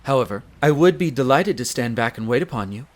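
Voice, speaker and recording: A man's voice: medium pitch, animated, a little fast, natural voice. Guy doing a proper wolf butler, super polite n formal, eager to serve, bit nervous, audio drama. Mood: courteous, attentive. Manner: very formal, deferential, hesitant when flustered. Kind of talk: scripted audio roleplay acting.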